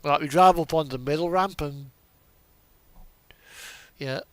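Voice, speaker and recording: A man's voice: fairly high pitch, slow, a bit bright, no room sound. A man giving casual, rambling game commentary, thinking aloud and trailing off as he plays. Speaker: streamer playing a truck simulator.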